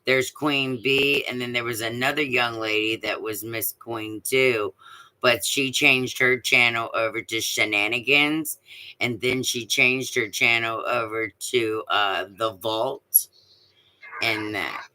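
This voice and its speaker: Woman, deep voice, slow talker, bright tone, rambling, lots of fillers. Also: steady tone, poor audio. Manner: rambling, many fillers and restarts. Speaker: live stream guest. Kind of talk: casual chat.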